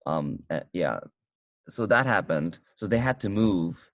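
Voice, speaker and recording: A man casually reviewing a film with a friend, talking in halting bursts with many restarts. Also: deep voice, animated, dry recording, studio quality. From United States. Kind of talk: casual movie review chat. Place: car.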